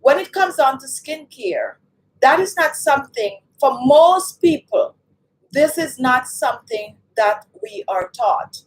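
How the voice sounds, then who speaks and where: A woman's voice: high-pitched, expressive, takes it slowly, rough recording. A woman from Jamaica, hosting a live beauty stream and chatting with viewers as she reads their comments. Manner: chatty, talks straight to the chat, reads viewer comments aloud. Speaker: beauty channel host.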